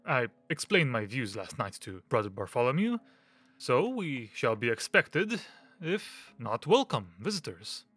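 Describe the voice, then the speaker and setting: A male actor plays a fussy, delicate gentleman hypochondriac in an audio drama. His pitch swings widely as he talks, formal and self-important, hesitating over his words. He sits at a medium male pitch. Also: very animated, soft background music, heavily acted. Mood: brisk, self-important. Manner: halting, hesitant, formal and fussy with throat-clearing.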